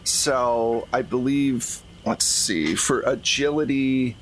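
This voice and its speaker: A man's voice: medium pitch, takes it slowly, slight background noise, poor audio. A man running a tabletop roleplaying game, narrating and explaining in a conversational, improvised way. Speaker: game master.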